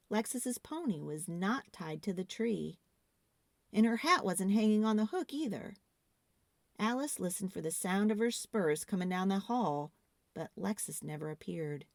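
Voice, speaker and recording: A woman narrating a children's storybook in an expressive, theatrical read-aloud style. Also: mid-range voice, gravelly, no room sound.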